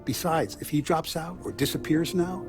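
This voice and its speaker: An actor playing a shrewd mob boss who gives orders in a measured, authoritative way. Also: male voice, on the high side, natural voice. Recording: game cutscene with music.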